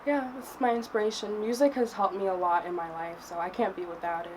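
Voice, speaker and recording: Young woman from cameroon chatting easy in an interview, mid pitch, bit of hiss. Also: fairly quick. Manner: relaxed and chatty, with soft laughs. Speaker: guest artist. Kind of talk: casual interview chat. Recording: faint background noise.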